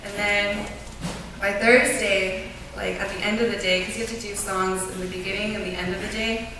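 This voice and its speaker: Young woman, chatty and animated storyteller, far from the mic in a very echoey room. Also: mid-range voice, moderate pace. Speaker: youth group member sharing a mission trip. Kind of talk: informal testimony to a church group. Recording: echoey room, distant mic, clear background noise.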